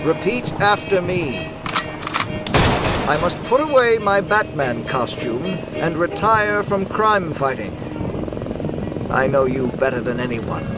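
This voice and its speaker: A man's voice: fairly high pitch, sing-song, slow pace, over loud music. A man playing a cruel, grandiose criminal mastermind with theatrical, taunting menace in a motion comic. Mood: gloating menace. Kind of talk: animated motion comic acting.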